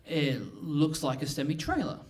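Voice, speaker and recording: A man's voice: on the high side, sing-song, normal speed, darkish tone. A man playing the dry, patient son of a detective in a live comic radio play. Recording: slightly distant stage mic.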